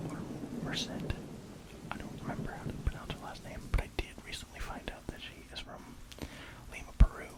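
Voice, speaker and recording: An ASMR creator speaks entirely in a hushed whisper. The delivery is an informal, rambling review, with hesitations and little asides, as if chatting through thoughts as they come. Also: rough recording.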